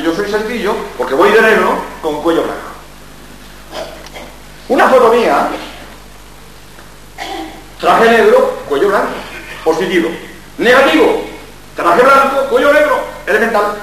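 Older priest lecturing in an echoey hall. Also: male voice, high-pitched, slight background noise. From Spain, born in Barcelona. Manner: lively, joking, full of rhetorical questions. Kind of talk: public lecture. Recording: very echoey hall, mic far off.

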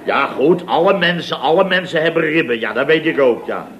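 A man's voice: a little high, a little fast, fairly bright tone, a little hiss or hum, close to an everyday voice. An actor playing a flustered, indignant, chatty man in a radio comedy, rambling and repeating himself as he tells his story. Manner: rambling, repetitive storytelling with stammers and rhetorical tags. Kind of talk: radio comedy acting in a dialogue sketch. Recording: radio recording with audience behind.

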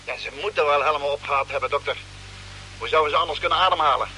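An eager, inquisitive crewman in an old space radio play. His voice is sharp and fairly high, pressing with questions over a faint hum. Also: fast. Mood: curious, insistent. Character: inquisitive, insistent male space expedition crew member. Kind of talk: mid-century radio drama acting. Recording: humming, noisy old radio drama recording.

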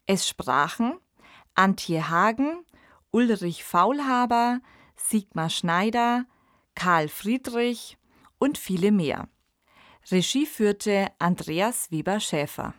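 Female podcast presenter: unhurried, measured delivery, mid pitch, very little room sound. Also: lively intonation, no room sound, clean studio sound. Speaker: podcast host.

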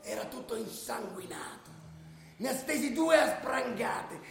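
Wild, very high-pitched male hooligan. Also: a little slow, gravelly, exaggerated voice. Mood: gleeful. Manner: loud, excitable, gleeful. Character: wild male football ultra relishing a brawl. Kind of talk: dramatised first-person narration, radio play. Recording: very echoey room.

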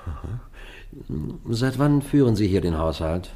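A low-voiced police detective in a radio crime play, measured, probing. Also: male voice, lively intonation, warm tone. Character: male police detective narrating a murder inquiry. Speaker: detective and narrator. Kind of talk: radio play acting and first-person narration.

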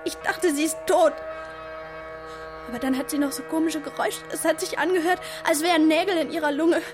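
An actress playing a frightened teenage girl giving halting testimony in a radio play. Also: high-pitched, steady tone, over music, close to an everyday voice. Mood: shaken.

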